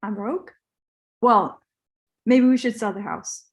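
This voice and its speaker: A woman's voice: a little high, slow pace. A woman in a comedy table read playing an entitled, clueless young male movie star. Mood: put out.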